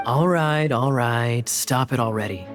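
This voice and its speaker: A man voicing a suave, teasing young knight captain in a video game, speaking smoothly and playfully. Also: mid-range voice, a little slow, lightly acted. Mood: wry. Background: game music.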